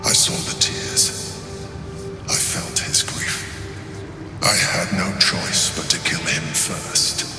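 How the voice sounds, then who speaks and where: Slow, low-voiced, calculating mind flayer. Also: male voice, steady tone. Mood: measured, proud. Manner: measured, deliberate, self-justifying. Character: calm, proud, calculating male mind flayer, once an adventurer. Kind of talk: dramatic game monologue. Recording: roomy long reverb, music behind.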